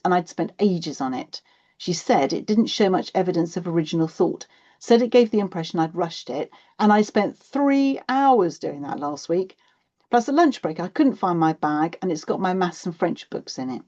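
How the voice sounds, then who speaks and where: Audiobook role: indignant thirteen-year-old girl, played by a woman, sulky and complaining, soft-edged tone, slightly muffled recording. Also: fairly low pitch, lively intonation, brisk. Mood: aggrieved. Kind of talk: acted children's audiobook dialogue.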